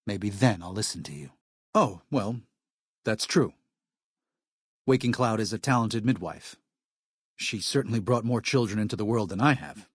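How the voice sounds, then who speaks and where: A weary, earnest missionary medic from a video game, played by a male actor. His voice sits fairly low and is recorded close and dry. He speaks in measured, plain words with a solemn sense of duty to the people he protects. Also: no room sound, close to an everyday voice. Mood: earnest, serious. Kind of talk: video game character dialogue.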